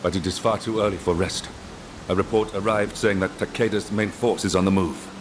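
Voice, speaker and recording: An actor playing a shrewd, dutiful samurai retainer and battle tactician in a video game cutscene. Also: male voice, deep voice, steady tone, a little fast, background noise, lightly acted. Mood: businesslike, urgent. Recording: music under the voice.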